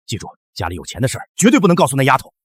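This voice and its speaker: Callous middle aged dad, quick talker, pitch all over, short drama melodrama. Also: male voice, huge pitch swings, no echo. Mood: callous, smug. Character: harsh middle-aged father, son-worshipping and callous.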